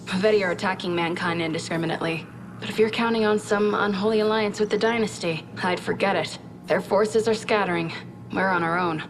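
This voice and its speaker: A woman's voice: mid-range voice, fairly even intonation, brisk, over music, lightly acted. A woman playing an earnest, candid scientist who briefs a military commander in a video game cutscene, speaking in a thoughtful, conversational way. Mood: urgent, grim. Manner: conversational, thoughtful, with reflective asides. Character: earnest female scientist advisor, candid, inquisitive and troubled.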